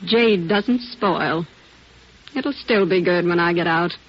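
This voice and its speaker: A woman's voice: lowish voice, slow. A woman playing a haughty, unrepentant crime schemer in a 1940s radio drama, composed and cold. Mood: cold defiant. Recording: dull, faintly noisy old radio recording.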